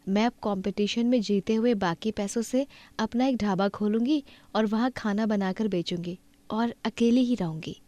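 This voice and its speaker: Lady playing a earnest young village woman in a cartoon, polite, mid pitch, dry sound. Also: moderate pace, dark tone, no echo, close to an everyday voice. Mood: earnest, determined. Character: determined, polite young orphan woman running a food stall.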